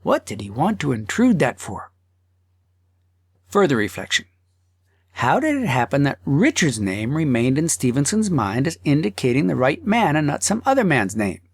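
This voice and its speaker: Guy narrating an audiobook, expressive storytelling, bit of gravel, really dry recording. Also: a little high, moderate pace, no room sound. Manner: theatrical, dramatizing thoughts and dialogue.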